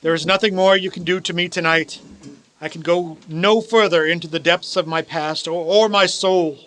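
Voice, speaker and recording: Male actor as old miser, anguished, pleading, dramatic, fairly high voice, radio play. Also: steady tone, moderate pace, fairly bright tone. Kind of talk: live-audience radio play acting.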